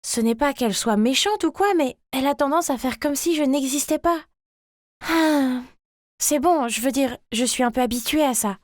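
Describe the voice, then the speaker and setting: Woman playing very high-pitched chatty schoolgirl. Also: normal speed, dry recording, clean studio sound, lightly acted. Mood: puzzled, slighted. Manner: chatty, expressive, youthful. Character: chatty, ordinary schoolgirl, overlooked younger sister. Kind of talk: animated first-person story narration.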